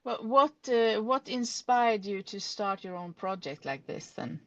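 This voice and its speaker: A woman hosting a casual live audio interview, asking brisk, short prompting questions. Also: mid-range voice, animated, a bit bright, highs cut off. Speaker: interviewer host.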